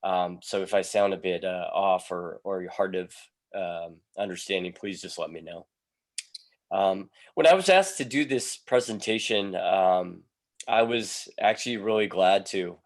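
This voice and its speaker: A man, a police sergeant giving a presentation over a video call, sounding congested from a cold and pausing often with ums. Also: low-pitched. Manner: hesitant, many ums between phrases.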